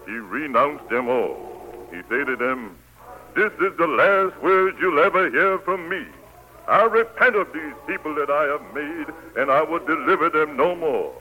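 An actor playing a homespun, colloquial storyteller narrating Bible tales in a 1940s radio drama. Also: male voice, fairly high pitch, expressive, unhurried, pushed and bright. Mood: solemn. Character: folksy preacher-like storyteller recounting Bible tales. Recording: noisy old 1940s radio broadcast.

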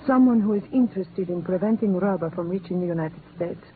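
A woman's voice: fairly low pitch, fairly quick, lightly acted. An actress playing a guarded, weary, earnest woman, speaking formally and dramatically in 1950s radio drama. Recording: narrow, noisy old radio recording.

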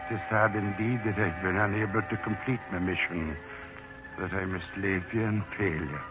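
An actor playing a sly, dignified Irish leprechaun posing as a manservant, wordy and mock-formal, in 1940s radio style. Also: male voice, low-pitched, steady tone, normal speed, close to an everyday voice. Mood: dignified, wry. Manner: wordy, mock-formal, flustered when cornered. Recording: tinny old 1948 radio broadcast, music.

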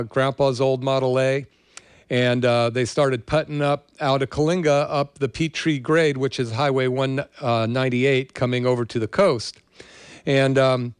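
An older American man talks as a show host in a conversational, meandering way, drifting into personal stories. His voice is smooth and clear at a middling pitch, recorded dry with very little room sound. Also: no echo. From California, USA. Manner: rambling, conversational. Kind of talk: casual monologue with personal storytelling.

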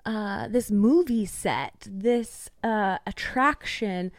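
A woman's voice: medium pitch, takes it slowly, no room sound, clean studio sound. A young woman from East Tennessee, a podcast guest, ranting heatedly and swearing freely in casual conversation. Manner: heated, profanity-laced rants.

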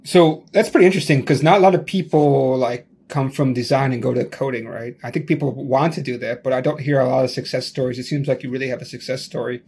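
Male podcast host, mid pitch, casual and rambling, video-call audio. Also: fairly even intonation, fast, hardly any pauses, darkish tone, slight background noise. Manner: loose and chatty, full of "like" and restarts. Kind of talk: casual tech interview.

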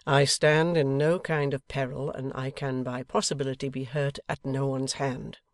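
A man reading as a solemn, affectionate young Victorian husband in a storybook, measured, formal and emphatic. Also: medium pitch, level tone, no room sound, clean studio sound, close to an everyday voice. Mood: firm, reassuring.

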